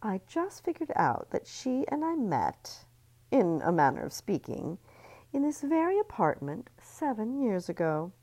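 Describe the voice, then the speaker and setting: A woman's voice: mid-range voice, sing-song, measured pace, warm tone, pitched above the performer's own voice. A woman reading an audiobook, voicing a smug rich young mother who gloats with theatrical flair. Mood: gloating reveal.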